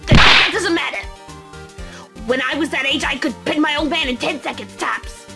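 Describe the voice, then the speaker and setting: A woman's voice: sits high, normal speed, gravelly. A woman voicing a cranky, combative old woman who rants indignantly in an amateur fan dub. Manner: ranting, indignant, stammering. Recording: loud music behind the voice.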